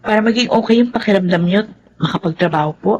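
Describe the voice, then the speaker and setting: A woman's voice: medium pitch, fast talker, a little mellow, dull audio, slight background noise, natural voice. A woman playing a caring, respectful female office assistant in a Filipino radio drama. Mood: concerned. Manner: respectful, solicitous.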